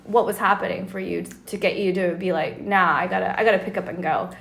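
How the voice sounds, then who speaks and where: A woman with a raspy voice from a cold, co-hosting a casual podcast in an upbeat, playful way and asking her co-host questions. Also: lowish voice, steady tone, fast, fairly bright tone. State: recovering from a cold. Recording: echoey room.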